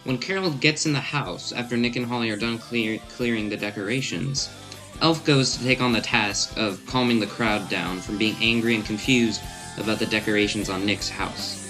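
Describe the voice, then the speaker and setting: A man's voice: fairly low pitch, normal speed, over music. A man reading scripted recap narration as a narrator in a radio drama.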